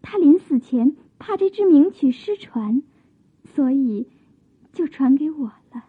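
An actress playing a gentle, modest young maiden of old China in a Chinese radio drama, with soft, coy period diction. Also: female voice, sits high, lively intonation, a little slow. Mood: wistful, recounting. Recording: muffled narrow-band old radio drama.

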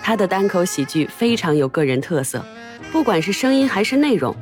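A woman reading a short show recommendation, admiring and warm in tone. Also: medium pitch, sing-song, fairly quick, faint music behind. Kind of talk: recorded promo read.

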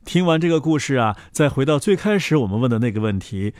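A man telling a children's story in an expressive, animated way, as if addressing a young listener. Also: mid-range voice, quick pace, no echo, clean studio sound. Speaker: storyteller and host.

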